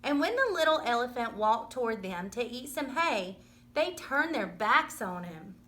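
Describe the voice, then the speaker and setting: A woman reading a children's story aloud in an expressive, dramatic storytelling manner. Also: a little high, measured pace. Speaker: storybook reader.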